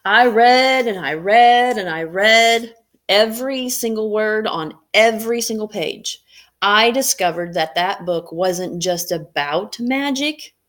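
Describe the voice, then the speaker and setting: A woman's voice: mid-range voice, slow pace, fairly bright tone, voice pushed up. A woman voicing a storybook magician, telling a tale in an earnest, emphatic way. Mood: wondering. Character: storybook magician telling of childhood wonder at books.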